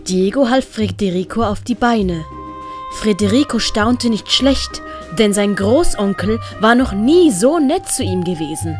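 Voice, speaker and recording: A woman with a Swiss German accent narrating a storybook in a gentle, measured way. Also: medium pitch, animated, soft background music. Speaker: narrator and author.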